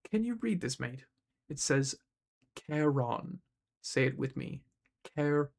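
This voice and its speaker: Male narrator as smooth ferryman of the dead, menacing, condescending, drawn-out delivery, gravelly creak, storybook. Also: mid-range voice, big pitch swings, full character voice.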